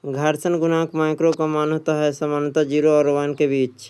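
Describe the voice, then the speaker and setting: A man's voice: a little high, monotone, normal speed, darkish tone, clear, resonant tone. A man working as an exam coach, reading quiz questions and answers aloud in an instructional way, often repeating them.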